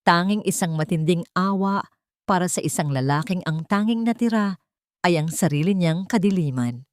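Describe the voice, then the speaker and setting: A woman reading a dramatic story as narrator, in a measured, solemn way. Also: low voice, a little mellow, no room sound, clean studio sound.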